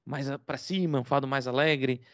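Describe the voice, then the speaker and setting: A man's voice: medium pitch, steady tone, moderate pace, fairly bright tone, no echo. A man with a Brazilian Portuguese accent, co-hosting an interview and explaining things at length in a casual, praising way. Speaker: co-host. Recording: dry, dull lo-fi sound.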